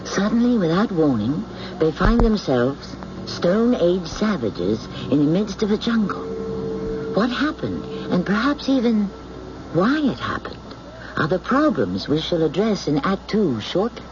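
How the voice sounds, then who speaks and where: Theatrical male radio host, very expressive pitch. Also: measured pace. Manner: theatrical, literary. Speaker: host and narrator. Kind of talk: dramatic scripted radio narration. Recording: muffled, noisy old radio recording.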